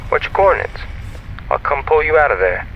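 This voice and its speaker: A man's voice: a little high, sing-song, brisk, muffled recording, slight background noise. An actor playing a friendly, chatty, self-assured male space comms operator in a sci-fi audio drama, with a folksy, easygoing and playful manner over a radio link. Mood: urgent, concerned.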